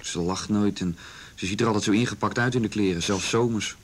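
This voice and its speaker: An actor playing an earnest young son in a radio drama, telling what he witnessed in a halting, sincere, plain-spoken way. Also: fairly low pitch, natural voice. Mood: uneasy, earnest. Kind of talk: naturalistic radio drama dialogue.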